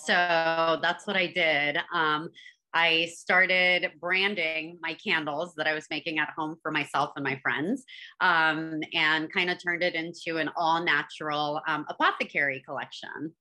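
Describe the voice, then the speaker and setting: Chatty woman in her 40s, slightly low but bright voice, lots of um and restarts, guest on an interview. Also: a little slow. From born in Afghanistan, raised in Northern California. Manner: relaxed, chatty, many fillers and restarts. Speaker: guest, candle brand founder. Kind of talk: casual interview chat.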